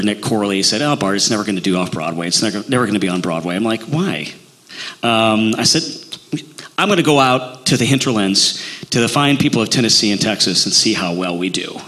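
A man with a Midwestern accent talks loosely, circling back with fillers and restarts. His voice sits a little low but sounds bright and forceful. He is an actor chatting in an interview. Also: poor audio. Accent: Midwestern American. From Illinois. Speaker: actor playing Davy Crockett, interview guest. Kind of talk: casual interview talk.

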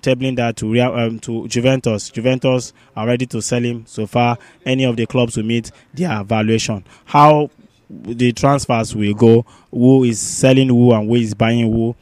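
A man co-hosting a sports podcast with casual news commentary, halting and often restating his phrases. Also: medium pitch, steady tone, darkish tone, no echo.

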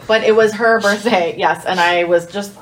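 A woman's voice: mid-range voice, steady tone, moderate pace, rough recording. An American woman in her thirties chatting casually as a podcast co-host, with a teasing, joking way of talking. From Ohio, United States. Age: 30s. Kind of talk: casual chat. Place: apartment room.

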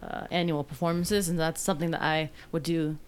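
A woman who dances and teaches pilates, a guest in a casual interview, easygoing and playful with soft fillers. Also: low-pitched, level tone, brisk, smooth.

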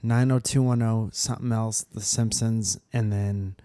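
A man's voice: on the low side, fairly even intonation, measured pace, dark tone, no room sound. A man co-hosting a podcast while sick with a cold, teasing and laughing often in casual chat. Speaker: podcast co-host.

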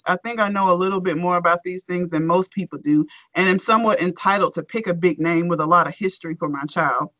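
A woman's voice: mid-range voice, no echo, rough recording. A woman co-hosting a talk show, reading a forum post aloud and then ranting with lots of swearing. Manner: expressive, swearing, animated rant. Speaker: podcast co-host.

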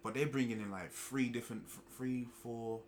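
A man's voice: medium pitch, fairly even intonation, moderate pace. A man, co-hosting a podcast, swearing loosely and laughing easily. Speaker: podcast co-host. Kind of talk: casual chat.

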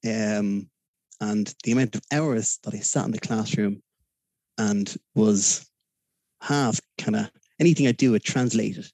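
A man's voice: fairly low pitch, unhurried, warm tone, dry recording. A man with a Dublin Irish accent as a podcast guest, talking in a rambling, hesitant way with many fillers. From Ireland. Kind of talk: casual interview chat.

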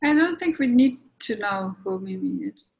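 A woman playwright on a video call, talking about her play in a soft, measured way. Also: medium pitch, expressive, dull audio. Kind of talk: casual group discussion.

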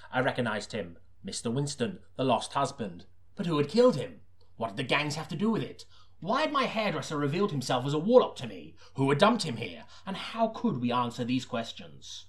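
A man reading scripted first-person detective narration as an audiobook, deadpan and self-serious like a noir parody. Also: mid-range voice, a little fast.

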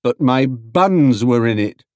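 A man's voice: mid-range voice, animated, takes it slowly, dry recording, voice pushed up. A man doing an earnest, polite young bear. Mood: dismayed. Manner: earnest, dismayed.

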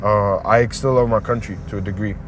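A man's voice: on the low side, fairly even intonation, a little fast, slight background noise. A man of about twenty who grew up in Dubai, a rapper speaking reflectively and laughing often in a casual interview.

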